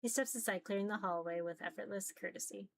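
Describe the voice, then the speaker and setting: A woman's voice: mid-range voice, fast, vocal fry, slight background noise. A woman reading a visual novel aloud as dramatic narration.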